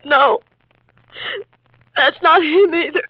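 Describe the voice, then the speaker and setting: A fragile, grief-stricken widow, with a high and tearful voice. The acting is from 1950s radio drama, recorded dry and slightly dull. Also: female voice, takes it slowly, dry recording.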